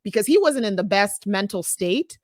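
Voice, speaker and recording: A woman's voice: mid-range voice, lively intonation, moderate pace, no room sound, clean studio sound. A woman from Nigeria, a podcast co-host chatting casually and retelling a story with asides.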